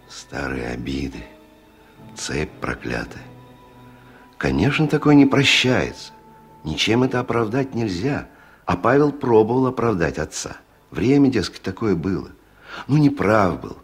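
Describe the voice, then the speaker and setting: An actor as a grave, compassionate storyteller, narrating a radio play in a reflective, intimate way. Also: male voice, low-pitched. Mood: somber. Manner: reflective, intimate, addressing the characters. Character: grave, compassionate male storyteller, musing on villagers.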